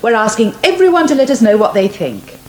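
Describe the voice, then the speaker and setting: Brisk English committee woman, echoey. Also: on the high side, sing-song, darkish tone. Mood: informative. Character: brisk, civic-minded village committee woman. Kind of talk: radio soap acting. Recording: echoey, slightly noisy 1980s radio broadcast.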